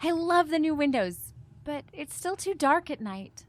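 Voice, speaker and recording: A woman's voice: high-pitched, lively intonation, measured pace. An actress playing a headstrong, argumentative single woman lawyer in a romantic comedy radio play. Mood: insistent, sparring.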